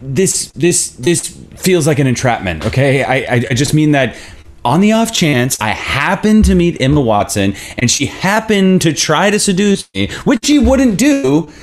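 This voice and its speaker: A man's voice: a little high, sing-song, measured pace. A man in his thirties acting a laid-back, wry but defensive boyfriend in a naturalistic table read on a video call. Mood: flustered.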